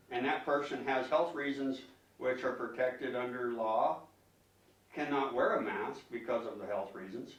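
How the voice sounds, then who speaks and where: A man speaking as a concerned citizen at a public meeting, arguing emphatically and haltingly, often repeating words as he questions officials. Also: medium pitch. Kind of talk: public comment, argumentative questioning. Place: public meeting room, indoors. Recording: echoey room, distant microphone.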